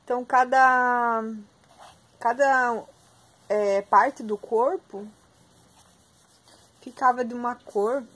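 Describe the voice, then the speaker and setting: Hoarse young Brazilian woman teaching. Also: fairly high pitch, steady tone, slow pace, pauses often, a bit bright. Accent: Brazilian Portuguese. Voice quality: husky. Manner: filler-heavy, addresses students directly. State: hoarse, clearing her throat. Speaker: psychopedagogy student teaching a class. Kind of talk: online lecture reading from a textbook.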